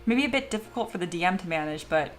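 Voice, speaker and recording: Chatty woman streaming with soft music. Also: on the low side, fast talker. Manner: relaxed and chatty, reads chat messages aloud, laughs often. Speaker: live-streaming 3D sculptor. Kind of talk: casual live stream chat while working. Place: home studio.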